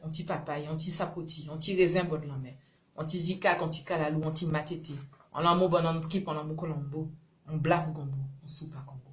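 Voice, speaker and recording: A woman from Guadeloupe with a Creole accent, performing spoken-word love poetry in short, rhythmic, incantatory phrases. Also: low-pitched. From Marie-Galante, Guadeloupe. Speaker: poet.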